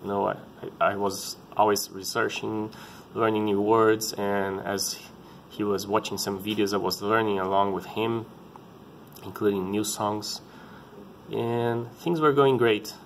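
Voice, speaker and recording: Man in his thirties, Brazilian Portuguese accent, native Portuguese speaker using English. Low-pitched, earnest, halting delivery with frequent restarts. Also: level tone, slight background noise. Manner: halting, earnest, often restarting to find words. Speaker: parent sharing his experience. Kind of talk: casual personal vlog talk.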